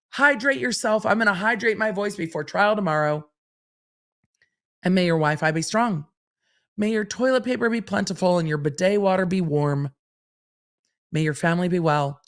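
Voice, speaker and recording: A middle-aged woman hosting a legal podcast, her voice a little raspy from long days of streaming, walking through the law point by point and answering her own questions. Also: lowish voice. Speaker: legal commentator and podcast host. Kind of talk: solo legal podcast commentary.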